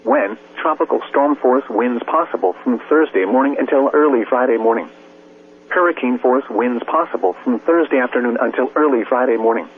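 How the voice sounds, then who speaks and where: A man's synthetic announcer voice reading a formal scripted weather warning in a stiff, measured way with clipped phrasing. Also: mid-range voice, gravelly. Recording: tinny weather radio broadcast with hum, faint hiss.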